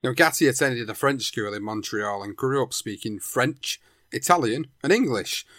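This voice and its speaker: A man narrating a boxer's life story in a measured storytelling manner. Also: mid-range voice, expressive, fairly bright tone, no echo, clean studio sound. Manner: measured storytelling, sentences opened with "now". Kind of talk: documentary narration.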